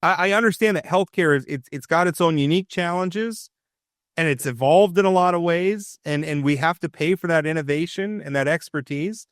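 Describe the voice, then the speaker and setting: A man with a fairly high speaking voice talks politics in a casual, opinionated way, stumbling over restarts as he builds his point. The sound is dry and close, with no room echo. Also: fairly quick. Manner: opinionated, frequent restarts and stammered repeats. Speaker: radio talk show host.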